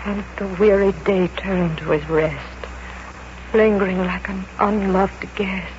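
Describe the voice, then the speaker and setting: An actress plays a gentle wife lost in poetry, genteel and theatrical. She talks very slowly in the style of 1940s radio drama. The aged recording is muffled and hissy. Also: medium pitch, steady tone, natural voice. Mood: soothing, wistful. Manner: soft-mannered, genteel, poetic, theatrical.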